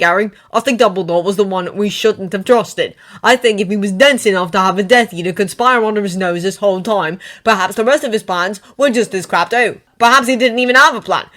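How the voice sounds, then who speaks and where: A woman voicing an angry, resentful teenage wizard who talks loudly and bitterly. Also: mid-range voice, natural voice. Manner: loud and shouting, bitter.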